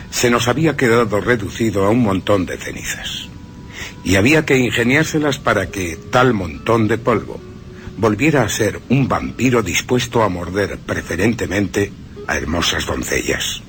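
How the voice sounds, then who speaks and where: A man's voice: on the low side, a bit bright. A man delivering scripted radio commentary on films, with personal asides.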